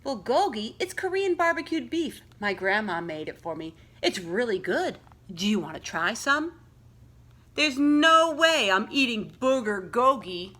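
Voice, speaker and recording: An eager young schoolkid from a storybook as acted out by a woman reader. The delivery is chatty, animated and emphatic, childlike in its phrasing. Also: on the high side, a little slow, voice pushed up, heavily acted. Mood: curious, emphatic.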